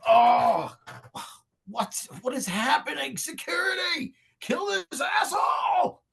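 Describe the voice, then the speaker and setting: A woman's voice: high-pitched, normal speed, a little mellow. A woman reading the part of a blustering, panicky, foul-mouthed villain in a comedy table read. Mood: panicked, furious.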